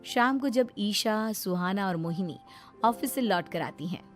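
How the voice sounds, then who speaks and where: A woman's voice: medium pitch, animated, a little slow, soft background music. A woman narrating a scripted moral story as the storyteller.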